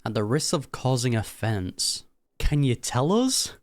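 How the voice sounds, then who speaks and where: A man's voice: mid-range voice, sing-song, a little slow, dry recording, clean studio sound. A young man giving casual, informal live reaction commentary over a game, talking back to the characters. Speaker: gaming reaction creator.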